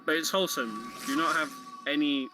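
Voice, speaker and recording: A man's voice: on the high side, normal speed. A man giving casual running commentary on a video game, explaining how it plays. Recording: game music under the voice. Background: loud game music.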